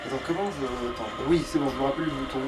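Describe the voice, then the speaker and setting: A man's voice: mid-range voice, racing. A man chatting informally as he plays a video game, swearing freely and thinking aloud. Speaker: let's play gamer playing the game. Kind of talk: casual gaming chat, commentary while playing. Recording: distant mic with faint background noise.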